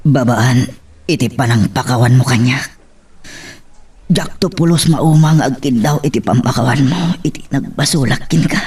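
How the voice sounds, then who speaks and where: A man's voice: mid-range voice, normal speed, darkish tone, breathy edge, recorded from a distance. Man acting as remorseful husband and father, Ilocano accent, emotional pleading, romantic audio drama, a bit off the mic. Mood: tender, earnest. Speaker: drama actor.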